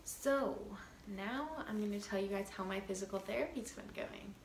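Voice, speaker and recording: A woman's voice: medium pitch, normal speed, reverberant, very noisy. A young woman in her teens talking casually to a laptop camera in a small bedroom, chatty with lots of fillers. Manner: chatty, giggly, lots of likes and ums. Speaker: vlogger. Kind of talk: casual vlog talk to camera.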